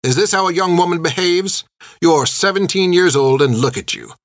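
A man's voice: sits high, moderate pace, no echo, voice pushed up, lightly acted. A stern elderly nun barks orders in a raised, piercing register, as a male narrator puts her on. She is a strict orphanage disciplinarian, clipped and scolding. The recording is close and completely dry. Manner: sharp, commanding, scolding.

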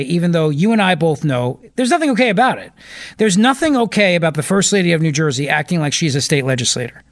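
Indignant, high-voiced talk radio host man. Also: creaky, a bit bright. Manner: indignant, emphatic, sarcastic. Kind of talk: political talk radio commentary.

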